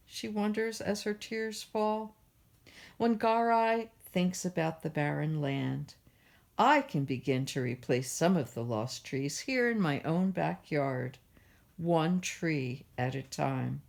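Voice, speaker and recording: Slow, low-voiced woman reading a storybook. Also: darkish tone. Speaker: library storytime reader. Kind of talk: children's picture book read-aloud.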